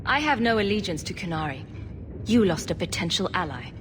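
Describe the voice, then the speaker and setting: A woman playing a calm, diplomatic young mage adventurer in a video game, speaking in an earnest, measured way. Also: lowish voice, background noise. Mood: reproachful. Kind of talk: video game cutscene acting.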